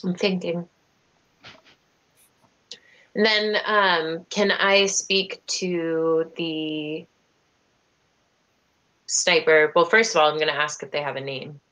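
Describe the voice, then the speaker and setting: Woman, low voice, hesitant, video call. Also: steady tone, slow, pauses often, fairly bright tone, poor audio. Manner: hesitant, thinking aloud. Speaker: player. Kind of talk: in-character tabletop play.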